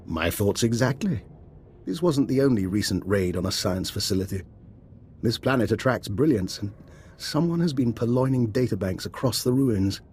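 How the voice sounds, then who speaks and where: A male actor playing a genteel, calculating imperial intelligence fixer in a video game, speaking in a measured, courteous, formal way. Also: lowish voice, expressive, a little mellow, slight background noise, lightly acted. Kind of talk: scripted game cutscene dialogue.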